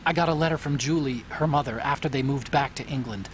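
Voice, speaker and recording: An actor playing an earnest, troubled scientist ally, hesitant yet explanatory, in a video game cutscene. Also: male voice, mid-range voice, fast talker, a little hiss or hum, close to an everyday voice. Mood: guilty, sorrowful.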